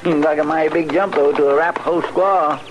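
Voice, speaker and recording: An actor playing a chatty, good-natured deputy with a rural American accent in a 1950s radio western. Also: male voice, a little high, fairly even intonation, brisk, lightly acted. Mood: chatty, curious. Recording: noisy 1950s radio recording.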